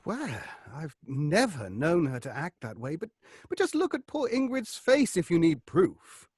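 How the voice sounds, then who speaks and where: A man's voice: on the high side, huge pitch swings, unhurried, a little mellow, husky, no echo, studio quality, exaggerated voice. A man acting as a nervous, placating theatre actor in a horror audio drama, theatrical and hesitant. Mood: alarmed. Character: nervous, gossipy male stage actor, eager to placate.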